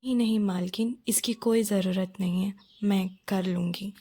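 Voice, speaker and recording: A woman voicing a humble, meek poor maid and mother in a children's cartoon, deferential, apologetic and soft-spoken. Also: medium pitch, fairly even intonation, moderate pace, dry recording, lightly acted. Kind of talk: Hindi children's cartoon story acting.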